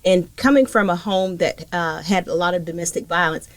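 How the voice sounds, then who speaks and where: A woman's voice: lowish voice, normal speed, no echo. A woman from Liberia, a guest on a podcast panel, speaking earnestly and with emphasis about her work. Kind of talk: casual panel conversation.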